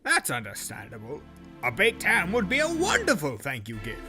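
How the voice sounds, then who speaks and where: A man playing a genial, muddled judge in an audio drama, with a pompous, deliberate manner. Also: on the high side, wildly swooping pitch, music in the background. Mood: pompous, curious.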